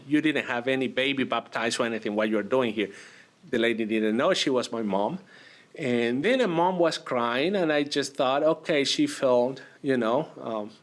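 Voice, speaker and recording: A man from Argentina, a priest, telling his story in an interview, with many pauses and fillers. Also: mid-range voice. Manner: hesitant, many fillers and restarts. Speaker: priest, guest.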